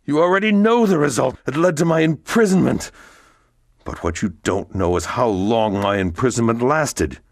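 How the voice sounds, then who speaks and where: A man's voice: mid-range voice, expressive, moderate pace, creaky, no echo, studio quality, voice pushed up. A man voicing a cold, vengeful middle-aged nobleman who speaks in a stern, bitter, accusing way.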